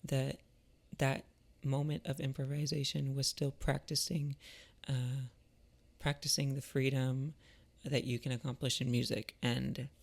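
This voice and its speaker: A man talking in a casual, reflective monologue, thoughtful and halting, with a creaky voice. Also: mid-range voice. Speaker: artist and musician vlogger.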